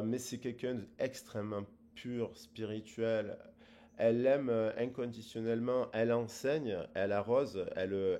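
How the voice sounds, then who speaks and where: A man giving a casual tarot reading, rambling with many pauses and fillers. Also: on the low side, warm tone. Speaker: tarot reader.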